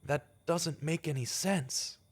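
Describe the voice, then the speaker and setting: A man playing a grave, fearful investigator hunting a monster, halting and dramatic, in a vintage-style radio drama. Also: on the high side, husky. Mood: uneasy. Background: loud dramatic music.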